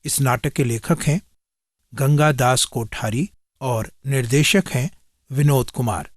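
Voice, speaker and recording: Slow, measured male radio announcer. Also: mid-range voice, dry recording, clean studio sound. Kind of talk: formal scripted radio announcement.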